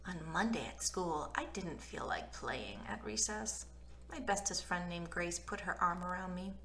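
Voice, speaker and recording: A woman reading a children's story aloud in an expressive, childlike, animated way. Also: fairly low pitch, a little slow. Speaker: children's book reader.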